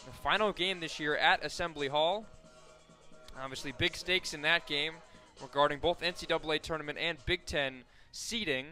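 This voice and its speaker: An American man calling live softball play-by-play as a radio announcer, conversational and ready to lift with the action. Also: a little high, normal speed, soft background music. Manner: conversational between plays, excited calls on action.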